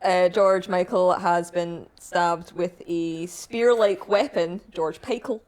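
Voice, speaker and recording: A woman's voice: on the low side, steady tone, slow pace, a little mellow. A woman chatting and bantering casually as a panelist on a podcast.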